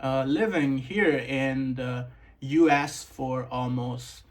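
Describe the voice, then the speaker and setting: A young man from Nepal, a guest in a casual interview, relaxed and full of "like" fillers. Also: mid-range voice, takes it slowly, heavy background noise. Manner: relaxed, filler-heavy, says "like" often.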